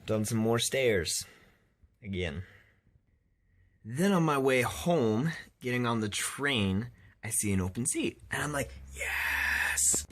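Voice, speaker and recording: A man's voice: mid-range voice, lively intonation, slow pace, fairly bright tone. A young man telling a casual vlog story in an exasperated, self-deprecating way. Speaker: vlogger.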